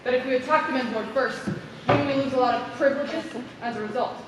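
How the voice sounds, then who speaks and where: A woman's voice: high voice, quick pace, close to an everyday voice. Woman acting strict bossy chairlady in a play, lots of echo and noise. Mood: formal. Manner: formal, imperious. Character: stern, formal chairwoman of a ward meeting. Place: theater stage, far from the mic. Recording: echoey noisy hall from far off.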